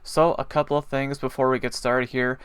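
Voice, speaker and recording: A man giving a casual, rambling spoken review of a TV episode, chatty with frequent pauses and fillers, his nose a little stuffy. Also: medium pitch, little pitch movement, a bit bright, clear, resonant tone, dry recording. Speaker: TV show reviewer.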